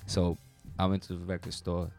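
A man's voice: sits low, monotone, moderate pace, creaky, faint music behind. A man from Japan, a guest rapper, telling stories in a laid-back, slangy way. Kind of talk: casual interview chat.

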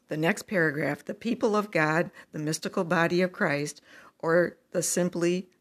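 Low, gravelly woman reading church teaching. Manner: measured, deliberate reading with numbered points. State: froggy morning voice. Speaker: religious teacher.

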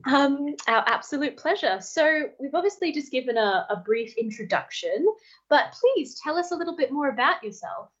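Higher-voiced woman, slightly dull audio. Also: expressive, normal speed. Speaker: radio show co-host. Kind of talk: conversational interviewing.

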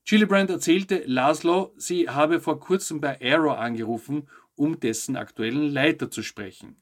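A man hosts a news show, reading scripted narration with personal commentary in a measured, articulate way. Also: a little high. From Austria. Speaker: host of a weekly UFO news show.

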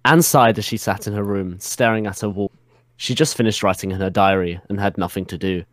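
A man's voice: on the low side, fairly even intonation, fairly quick, no echo. A man narrating fan fiction as a dramatic reading, giggly and often close to breaking up. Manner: giggly, keeps breaking into laughter.

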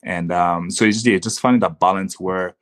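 A man with a low voice, recorded close and dry, speaks as an interview guest. A native Swahili speaker, he tells his own story reflectively, pausing and repeating himself as he goes. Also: steady tone, no room sound. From the Congo, raised in Zimbabwean refugee camps and Australia. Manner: halting, with frequent repeats and "you know".

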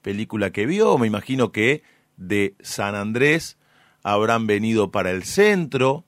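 A man's voice: lowish voice, sing-song, a little slow, no echo, clean studio sound. A man with an Argentine accent conducting a conversational interview, addressing his guest formally and courteously.

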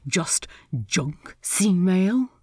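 A brooding male pirate captain from a storybook , played by a woman. The voice is low and gruff, drawling slowly with dry sarcasm. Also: lively intonation, no echo, heavily acted. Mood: sardonic, dry. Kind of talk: fan fiction audiobook character voice.

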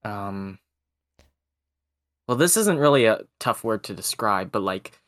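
A young man whose first language is Cantonese, chatting casually and hesitantly, often pausing to search for words. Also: on the low side, lively intonation, fairly bright tone, dry recording, studio quality. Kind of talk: casual chat.

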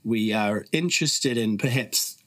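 A man's voice: lowish voice, a little slow, a bit bright, no echo. A middle-aged man with a New Zealand accent, a podcast guest telling stories in a reflective, earnest way. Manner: reflective, earnest, with frequent fillers. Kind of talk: casual conversational storytelling.

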